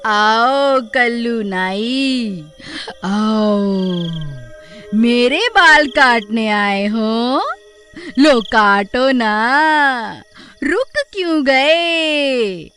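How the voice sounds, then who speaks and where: A woman voicing a menacing bald witch in a cartoon horror story, taunting in a drawn-out way. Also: on the high side, fairly bright tone, faint music behind, voice pushed down. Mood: mocking menace.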